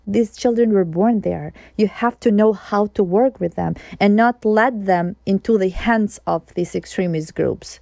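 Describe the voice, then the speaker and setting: Woman from kosovo, earnest, keeps restarting sentences, gravelly mellow voice. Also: medium pitch, dry recording. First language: Albanian. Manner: earnest and explanatory, with frequent restarts. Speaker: investigative journalist guest. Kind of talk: interview conversation.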